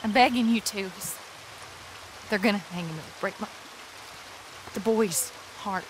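An actress playing a desperate, imploring young wife of an outlaw in a video game. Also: female voice, medium pitch, unhurried, slight background noise, close to an everyday voice, low-quality recording. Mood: desperate pleading. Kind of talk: dramatic video game acting.

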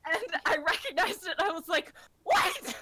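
A woman in a casual livestream group chat, telling things in a chatty, animated way. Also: extremely high pitch, moderate pace. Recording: clearly noisy recording.

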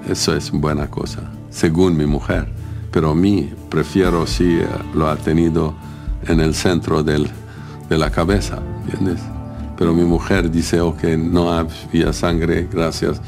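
A middle-aged man from Lebanon with a foreign accent, not a native Spanish speaker, answering in halting, broken phrases. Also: deep voice, flat delivery, vocal fry, music in the background. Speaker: interviewee.

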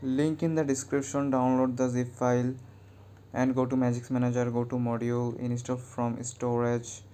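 Mellow, even-toned man giving tutorial instructions. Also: mid-range voice, moderate pace. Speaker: tech tutorial host. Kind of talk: scripted how-to narration.

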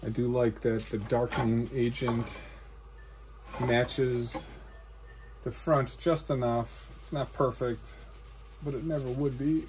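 A man in his forties, a guitar builder, calmly explaining each step of his work in a matter-of-fact how-to narration in his workshop. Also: medium pitch, takes it slowly, pauses often, a little hiss or hum. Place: cluttered workshop indoors.

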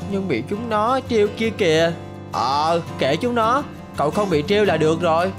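A woman's voice: on the low side, expressive, normal speed, over music, lightly acted. A woman voicing a good-natured, loyal schoolboy in a cartoon, with a casual youthful manner. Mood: friendly chatter. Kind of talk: cartoon voice acting.

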